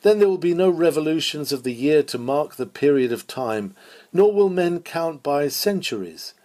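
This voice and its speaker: A man's voice: fairly high pitch, animated, moderate pace, mellow. A man reading a scripted historical sermon aloud in a solemn, declamatory preaching manner.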